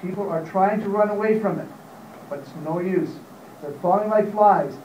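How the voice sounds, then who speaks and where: A man's voice: high voice, moderate pace, heavy background noise, lightly acted. A man acting as a grave radio announcer in a live radio play, reporting solemnly and dramatically as an eyewitness. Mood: awed, doomed. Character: grave radio announcer witnessing an invasion from a rooftop. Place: hotel meeting room, panel table. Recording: distant camera mic in echoey room.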